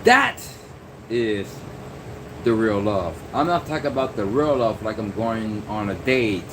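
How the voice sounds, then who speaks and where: A man's voice: on the low side, expressive, unhurried. A young man talking in a loose, rambling vlog monologue, full of fillers and restarts. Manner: meandering, many fillers and restarts. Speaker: vlogger. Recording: noisy background hum.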